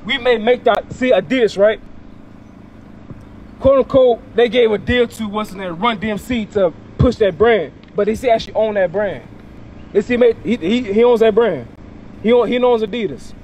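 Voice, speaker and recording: A man's voice: high voice, normal speed, darkish tone, dull audio, a little hiss or hum. A young man street preaching outdoors into a handheld mic, emphatic and questioning, often repeating words. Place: outdoors by a parking lot.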